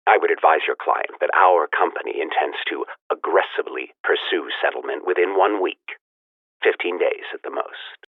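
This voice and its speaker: An actor playing a smooth, menacing businessman in a video game, speaking in a measured, formal way through an old telephone filter. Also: rumbling low, no echo. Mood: coldly firm. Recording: narrow, tinny telephone line.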